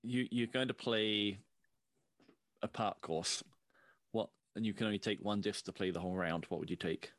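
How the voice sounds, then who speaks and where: A man hosting a laid-back chat, posing questions slowly and evenly. His voice sits on the low side and sounds dry and close, with no room around it. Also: steady tone, fairly bright tone, no room sound. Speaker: podcast co-host. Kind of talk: casual interview questions.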